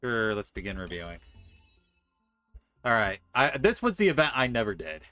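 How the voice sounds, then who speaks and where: Bright-voiced man streaming a game. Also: on the low side, very animated, normal speed, no echo. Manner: informal, joking, exclamatory. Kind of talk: casual gameplay commentary. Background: faint game music.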